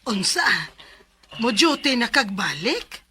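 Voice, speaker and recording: A woman's voice: a little high, very animated, takes it slowly, over loud music. An actress with a Cebuano Filipino accent playing a caring, worried mother in a radio drama, speaking with motherly concern. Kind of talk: Bisaya radio drama acting.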